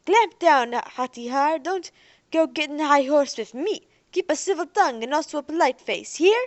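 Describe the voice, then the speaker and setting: A woman's voice: sits high, animated, normal speed, no room sound, pitched above the performer's own voice. A woman voicing a hostile young man leading a gang, sneering and taunting. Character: hostile young male Earther gang leader.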